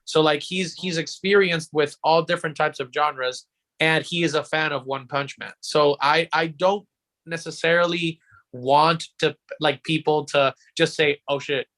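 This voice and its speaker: A man's voice: fairly high pitch, fairly even intonation, a little slow, fairly bright tone. A man co-hosting a podcast, presenting news in a casual, conversational style. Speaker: podcast co-host.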